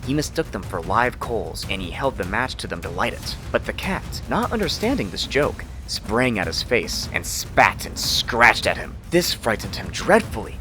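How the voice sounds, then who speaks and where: A man narrating a fairy tale as a storyteller, in an expressive, measured way. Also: fairly low pitch.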